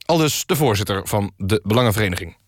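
A man's voice: lowish voice, fast, dry recording, studio quality. A man playing a straight-faced radio magazine host, reading mock news and interviewing politely. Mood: deadpan. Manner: deadpan, polite, stumbling in questions.

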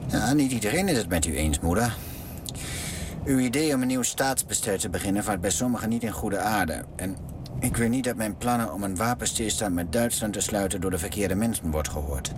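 A man's voice: fairly low pitch, animated, brisk, background noise, close to an everyday voice. An actor playing a queen's scheming son in a radio drama, speaking in a conspiratorial, persuasive way.

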